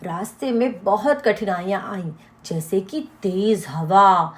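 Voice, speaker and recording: A woman's voice: mid-range voice, slow pace, background noise. A woman narrator reading a scripted moral story for children.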